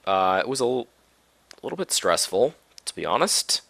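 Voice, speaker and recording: A deep-voiced man, chatting in a loose, meandering way as he plays. His voice sits low but has a bright, forward edge. He is coming down with a cold, and the recording is close and dry. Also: sing-song, normal speed, creaky, dry recording. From Minneapolis-Saint Paul area, US. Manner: laid-back and rambling, many ums and restarts. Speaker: Minecraft let's play host. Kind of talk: casual gameplay commentary.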